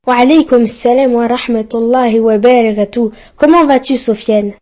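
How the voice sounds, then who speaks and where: A woman's voice: fairly high pitch, fairly even intonation, normal speed, dark tone, close to an everyday voice. A woman voicing a polite, caring boy who looks after his sick mother in a cartoon. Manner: polite, apologetic.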